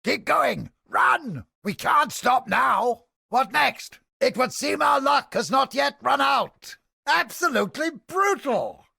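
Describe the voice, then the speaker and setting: An actor playing a cynical, haughty old scholar of the mind in a video game, with a raspy, gravelly voice and a pompous, irritable manner. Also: male voice, very high voice, sing-song, slow pace, sharp tone, no room sound, raised voice, clean studio sound, heavily acted. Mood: urgent, commanding. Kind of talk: dramatic video game voice acting.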